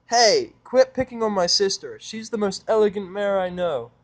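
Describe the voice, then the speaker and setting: A man's voice: lively intonation, moderate pace, poor audio. A man voicing a young, timid but spirited girl unicorn in a story, with a childlike manner. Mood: indignant. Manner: high-pitched, childlike, hesitant.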